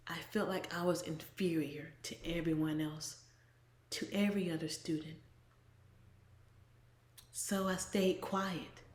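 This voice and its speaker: A woman giving an earnest, measured inspirational talk as a devotional blogger, often trailing off soft and breathy at the ends of phrases. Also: lowish voice. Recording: echoey room.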